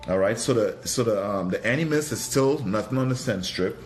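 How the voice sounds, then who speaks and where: A man's voice: on the low side, lively intonation, fairly quick, soft background music. A man from Trinidad giving a casual live product review, thinking aloud in a hesitant, musing way and often repeating his phrases. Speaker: fragrance reviewer and livestream host.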